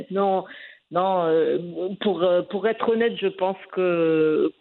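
A woman's voice: fairly low pitch, expressive, very slow. A woman in her forties giving an interview, talking in a hesitant way with many fillers and restarts. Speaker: guest. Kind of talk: conversational interview. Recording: phone-like narrow band.

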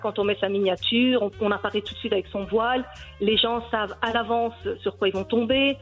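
A woman's voice: mid-range voice, steady tone, fairly quick, dull audio, soft background music. A woman in her forties from Belgium, a cooking YouTuber answering interview questions earnestly, with many hesitations. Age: 40s.

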